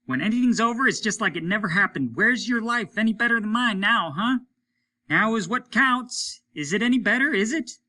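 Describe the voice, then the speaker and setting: A man voicing a bitter, desperate dying spaceman in a story, forceful and needling. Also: high-pitched, expressive, normal speed, pitched above the performer's own voice. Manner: needling, insistent. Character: bitter dying male spaceman, jealous, mean, desperate, shouting. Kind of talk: dramatic audiobook character reading.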